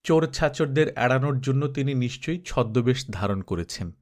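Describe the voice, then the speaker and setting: A man's voice: mid-range voice, animated, moderate pace, a little mellow. A man reading literary fiction as a scripted audiobook narration.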